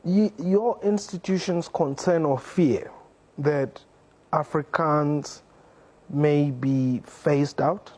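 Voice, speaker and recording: A South African man hosting a call-in discussion show, brisk and probing. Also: a little high, a little mellow. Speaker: talk show host.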